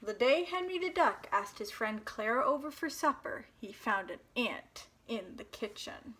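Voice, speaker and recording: A woman hosting storytime, reading a children's picture book aloud in an expressive, dramatic way for young listeners. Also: on the high side, unhurried, slight background noise.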